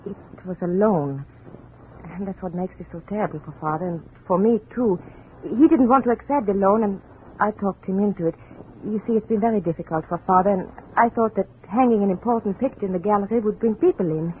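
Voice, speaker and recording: An actress playing a polite, devoted young woman, a gallery owner's daughter, gentle and earnest in a 1950s radio drama. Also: mid-range voice, brisk, close to an everyday voice. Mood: earnest, worried. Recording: muffled, noisy old radio recording.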